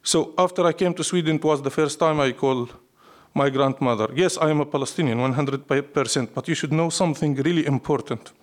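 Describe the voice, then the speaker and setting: A man speaks in a somewhat high-set voice with a Syrian Arabic accent, his native language being Arabic. He is a poet being interviewed, reflective and declarative. He builds his points in short, repeated, emphatic phrases. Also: fairly quick. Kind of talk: live interview, reflective storytelling.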